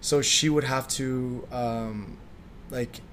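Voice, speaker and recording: A young man, talking as a guest in a casual interview in a relaxed, easygoing way with frequent "like"s. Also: medium pitch, slow, slight background noise. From Slovakia. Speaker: guest, clothing designer.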